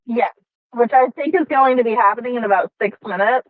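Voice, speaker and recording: A woman's voice: a little high, fairly quick, no echo. A woman playing a tabletop game from a car, musing aloud in casual, informal talk over a tinny connection. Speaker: player in a tabletop roleplaying game. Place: inside a car. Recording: tinny phone-like sound from a car.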